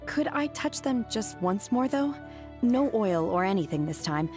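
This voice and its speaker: An actress voicing a calm, detached young woman with a blunt, matter-of-fact manner. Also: medium pitch, a little slow, over music.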